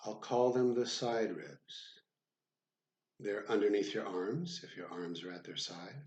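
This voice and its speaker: A man with a low voice leads a guided body scan. He speaks very slowly and deliberately, leaving frequent gaps between short phrases. The sound is roomy, with clear echo around his voice. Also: lively intonation, darkish tone, dull audio. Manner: measured, deliberate, with frequent pauses. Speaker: psychotherapist guiding meditation. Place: room with the door left open.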